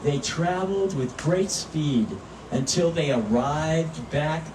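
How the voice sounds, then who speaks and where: A man reading a children's story aloud at a live event in an expressive, earnest way. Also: fairly high pitch, slow. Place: outdoor public event at animal habitat. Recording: roomy, distant mic, noisy outdoor ambience.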